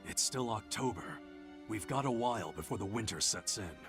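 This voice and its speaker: Cautious commander, creaky and animated. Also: male voice, on the low side, moderate pace, music in the background. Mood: alarmed. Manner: protesting, incredulous. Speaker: game voice actor. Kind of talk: dramatic game dialogue.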